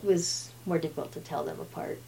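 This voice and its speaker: A chatty woman in her fifties with a fairly low voice. She grew up in Brazil and speaks Portuguese as her first language, so she sounds like a relaxed guest at ease in a casual talk. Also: fairly quick, vocal fry, low-quality recording. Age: 50s. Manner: chatty, laughs often. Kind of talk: casual chat.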